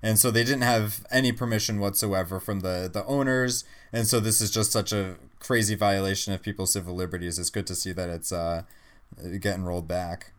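Guy podcast co-host, lowish voice, casual news rundown, restarts his sentences a bit. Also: steady tone, fairly quick.

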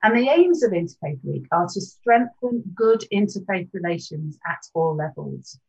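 A woman hosting an interfaith panel discussion in a measured, welcoming tone, now and then clearing her throat. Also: lowish voice, animated, muffled recording. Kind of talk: presenting and leading a short prayer.

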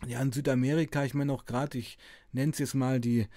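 A man's voice: mid-range voice, little pitch movement, moderate pace, mellow, dry recording, studio quality. A man hosting a casual interview, agreeing often and adding his own views. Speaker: podcast host.

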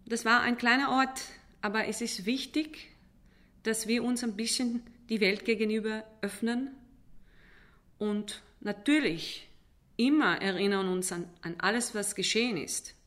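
A woman's voice: a little high, fairly bright tone. A woman from Israel, speaking as an interview guest, reflective and often searching for words in a language that is not her first. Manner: halting, searching for words in non-native German.

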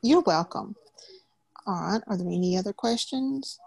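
A woman's voice: mid-range voice, normal speed, gravelly, darkish tone, husky, dry recording, poor audio. A woman in her forties patiently teaching a beginner loom knitting class over a video call, step by step. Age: 40s.

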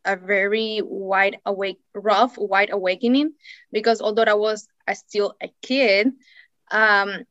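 A woman talks haltingly and thoughtfully, often starting a sentence twice before it lands. Her voice is bright and forceful. It sits dry and close on the mic, though the sound is a little dull and lo-fi. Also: mid-range voice, fairly even intonation, no echo. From Cuba. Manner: hesitant, reflective, halting restarts. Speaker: guest. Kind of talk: personal podcast interview.

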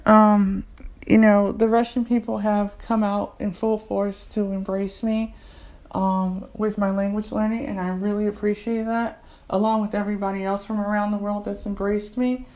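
A woman's voice: mid-range voice, little pitch movement, normal speed, a little mellow, rough recording. A woman in her forties, a community English tutor, speaking earnestly and enthusiastically in a casual personal testimonial. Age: 40s.

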